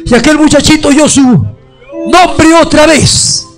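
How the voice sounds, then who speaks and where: A male preacher giving a fervent altar call, often shouting and stretching out his phrases. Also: very high-pitched, slow, faint music behind.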